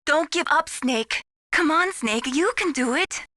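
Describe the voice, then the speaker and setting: A woman voicing an earnest, caring young mission support analyst in a video game, encouraging and gently solicitous. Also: high-pitched, animated, measured pace, no room sound, studio quality, exaggerated voice. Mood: pleading, encouraging.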